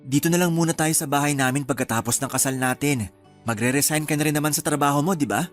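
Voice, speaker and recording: A man's voice: fairly high pitch, quick pace, soft background music, natural voice. A man acting an affectionate young fiancé and doting son in a Filipino radio drama, eager and sweet-talking. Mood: agreeable, coaxing.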